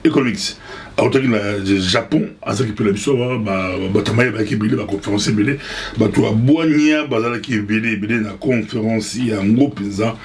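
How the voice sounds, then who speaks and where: A man's voice: fairly low pitch, brisk, a little hiss or hum. Rambling Congolese man commenting. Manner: rambling, repetitive, mixes two languages. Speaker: pastor and political commentator. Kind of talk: casual political commentary.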